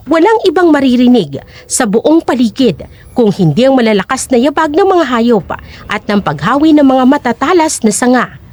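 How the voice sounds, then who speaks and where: High-pitched, animated woman storyteller. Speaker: narrator. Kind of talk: dramatic scripted storytelling narration.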